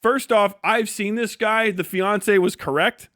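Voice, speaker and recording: A man with a fairly high voice, recorded close and dry. He talks like a livestreamer riffing off the cuff, swearing freely and mocking as he goes, often prone to laughing through his words. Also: measured pace, fairly bright tone, no room sound. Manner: crude, profane, mocking storytelling; laughs often while talking. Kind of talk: casual unscripted livestream commentary.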